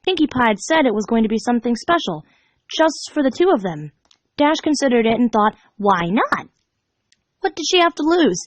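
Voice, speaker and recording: A woman reading fan fiction aloud as an expressive storybook narrator, animated and dramatic in her delivery. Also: fairly high pitch, fairly quick, no echo.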